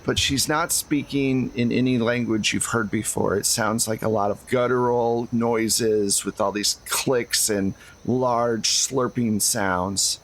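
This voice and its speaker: A man's voice: medium pitch, slight background noise, low-quality recording. A man narrating as game master of a tabletop roleplaying game, improvising scenes and talking to his players.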